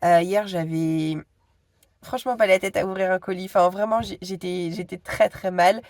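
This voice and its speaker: A woman's voice: on the low side, fairly even intonation, moderate pace, a little mellow, no echo. A woman in her thirties with a cold, chatting casually to her phone camera at home, hesitant and full of asides. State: stuffy with a cold. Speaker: vlogger.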